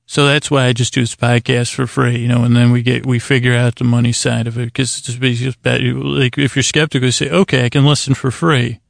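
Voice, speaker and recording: Guy w gravelly fry voice, flattish pitch, rambly sleep podcast host, trips over and repeats his words, puts himself down. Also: dry recording, clean studio sound. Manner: meandering, halting, stammering and repeating himself; self-deprecating. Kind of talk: rambling bedtime podcast monologue, casual chat.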